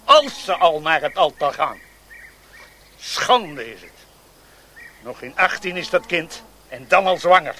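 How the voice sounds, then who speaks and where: An actor playing a bitter, hot-tempered village friar and schoolmaster, scornful and scolding, in a radio drama. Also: male voice, fairly high pitch, lively intonation, measured pace, pauses often, sharp tone, a little hiss or hum.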